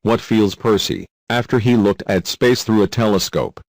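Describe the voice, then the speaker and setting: A man's voice: lowish voice, normal speed, no room sound. A man reading scripted narration for a children's quiz video, with simple prompts in non-native English phrasing.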